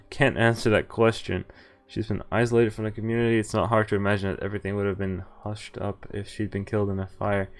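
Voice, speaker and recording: A man's voice: fairly low pitch, flat delivery, fast talker. A man streaming a visual novel, reading its text aloud and breaking off to swear and react. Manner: reads narration evenly, breaks off to swear and react.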